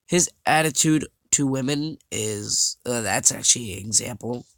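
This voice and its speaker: A young man vlogging over slides, talking haltingly with many fillers and restarts, his voice a little scratchy and his nose stuffed up. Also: medium pitch, vocal fry, fairly bright tone, dry recording, clean studio sound. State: congested, slightly scratchy voice. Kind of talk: casual talk over slides.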